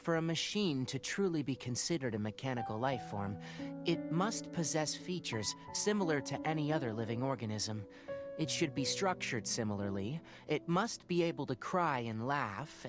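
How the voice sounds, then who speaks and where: An actor playing a calm, analytical young male scholar, explaining things thoughtfully in video game dialogue. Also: medium pitch, normal speed, close to an everyday voice. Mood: thoughtful, sober. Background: music.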